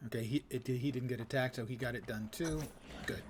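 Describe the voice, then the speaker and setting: Male game streamer, casual play-by-play, thinks aloud in a mutter, clear background noise. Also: medium pitch, a little fast. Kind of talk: casual live gameplay commentary.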